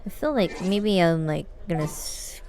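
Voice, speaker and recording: A woman's voice: on the low side, lively intonation, unhurried, darkish tone. A woman doing casual live gameplay commentary, thinking aloud in an informal way. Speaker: game streamer. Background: faint game music.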